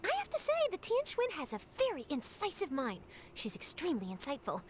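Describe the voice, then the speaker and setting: A woman's voice: high voice, wildly swooping pitch, brisk, heavy background noise. A woman voicing an eager, inquisitive young female reporter in a video game, perky and curious. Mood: starstruck, impressed. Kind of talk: video game dialogue acting.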